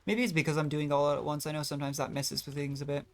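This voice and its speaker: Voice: medium pitch, level tone, fast talker. A game streamer rambling casually through gameplay commentary, thinking aloud in a self-deprecating way. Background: video game music.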